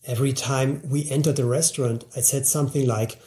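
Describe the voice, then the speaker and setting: A man in his forties speaking to camera in a studio, giving an earnest, upbeat scripted sales pitch for a language course. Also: fairly even intonation, brisk, mellow. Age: 40s. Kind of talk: scripted promotional talk to camera. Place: indoor studio, plain grey backdrop, seated at a table.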